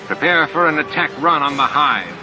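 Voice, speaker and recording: A man voicing a fierce, gruff male alien commander in a science fiction audiobook, growling terse military orders. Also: on the high side, animated, normal speed, over music, pitched above the performer's own voice, lightly acted. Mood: commanding, urgent.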